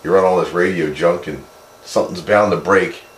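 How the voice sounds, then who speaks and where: A man chatting casually as a hobby radio operator, explaining things in a rambling, halting way. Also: low-pitched. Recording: roomy shack with steady background noise.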